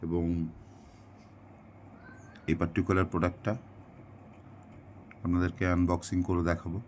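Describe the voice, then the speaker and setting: A man's voice: sits low, completely flat delivery, moderate pace, a little mellow, a little hiss or hum. A man casually narrating an unboxing in an explanatory way, mixing in English phrases. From India. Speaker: product unboxing presenter.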